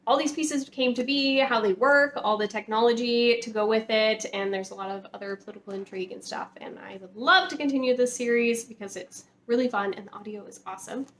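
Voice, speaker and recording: A woman talking casually to viewers as a book vlogger, gushing and enthusiastic, often dropping "like" into her sentences. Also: mid-range voice. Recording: clear background noise, room ambience.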